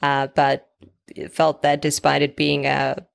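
A woman from Finland hosts an interview conversation and relates her own life to her guest's. Her voice is very low with a gravelly, fry-laden texture. She asks long, winding questions in a relaxed way. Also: unhurried. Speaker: interviewer host.

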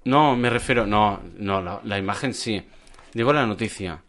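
A man's voice: lowish voice, normal speed, a bit bright. A man around forty from Spain, co-hosting a casual podcast chat, joking and swearing with frequent stumbling restarts. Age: about 40. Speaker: podcast co-host.

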